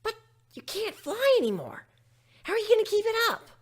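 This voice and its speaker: A man voicing cheeky, excitable little pony schoolgirls in a dramatic story reading, eager and exclaiming. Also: very high voice, a little fast, voice pushed up, exaggerated voice. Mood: curious.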